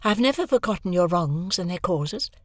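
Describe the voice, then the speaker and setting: A woman voicing a proud, composed young woman who speaks in a measured, cool way, in an audiobook reading. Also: lowish voice, expressive, no echo, studio quality, voice pushed up. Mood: musing. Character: cold proud young woman, calm and reasoning.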